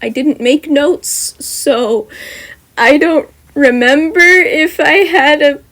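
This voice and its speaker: A woman's voice: sits high, takes it slowly, fairly bright tone. A young woman narrating her own fan story as a podfic, then chatting in a rambling, upbeat way with frequent restarts. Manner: rambling, self-correcting, upbeat. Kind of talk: podfic narration and casual chat.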